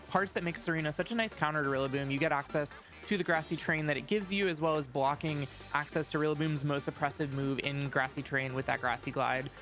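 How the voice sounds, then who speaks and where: A man's voice: on the high side, fairly bright tone. A man giving eager color commentary on a competitive match, explaining strategy with frequent fillers. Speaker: esports co-commentator. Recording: music playing under the voice. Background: background music.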